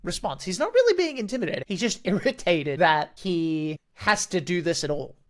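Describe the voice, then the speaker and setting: A man's voice: high-pitched, sing-song, normal speed. Man analysing a voice acting part aloud. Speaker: voice actor and dungeon master. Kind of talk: explanatory commentary on a voice acting audition.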